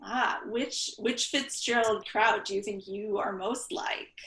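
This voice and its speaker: A woman's voice: mid-range voice, fairly even intonation, slow pace, fairly bright tone. A woman librarian hosting a virtual interview on a video call, upbeat and enthusiastic. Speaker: youth services librarian, host. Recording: echoey room on a call.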